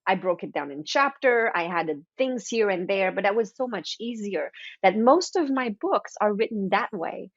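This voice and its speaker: A chatty woman whose first language is French talks as a guest in an interview, restarting sentences as she goes. Her voice sits at a middle pitch, close and dry. The sound is a little dull. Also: animated, normal speed, hardly any pauses, breathy edge, no echo. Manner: chatty, with frequent restarts and acted-out inner thoughts. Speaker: guest author. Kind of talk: casual interview chat.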